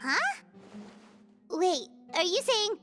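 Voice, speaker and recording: A tiny, excitable floating companion from a video game, with an extremely high, squeaky child's voice. The delivery is very animated and drawn out, over prominent music. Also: female voice, drawn-out words, heavily acted. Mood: surprised.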